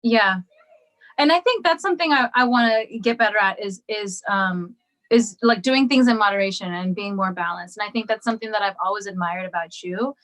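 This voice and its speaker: A woman's voice: mid-range voice, fairly quick, fairly bright tone, rough recording. A woman singer as a guest, talking casually in an interview with frequent ums and restarts. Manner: hesitant, many ums and restarts.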